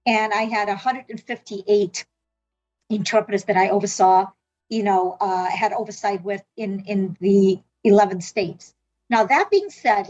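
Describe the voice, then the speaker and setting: A woman's voice: mid-range voice, lo-fi sound. A middle-aged woman from South Africa whose first language is Portuguese, earnestly telling her story in an interview with many fillers and restarts. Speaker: interviewee, medical interpreting leader. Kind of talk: reflective personal storytelling.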